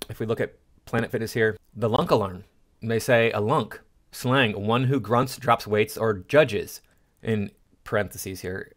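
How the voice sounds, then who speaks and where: A middle-aged American man giving casual, indignant opinion commentary as a channel host, often halting and repeating himself. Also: on the low side.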